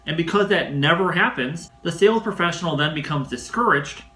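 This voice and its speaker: A man presenting a scripted instructional sales talk as a coach, in a didactic, emphatic way with measured phrasing. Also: a little high, fairly bright tone, reverberant.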